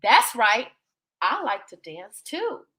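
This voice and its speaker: Sassy, wry family dog for a storybook , played by a woman. Bright, lively, cheeky. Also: on the high side, slow, heavily acted. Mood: playful. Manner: folksy, cheeky asides. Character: sassy, wry pet dog narrating the tale.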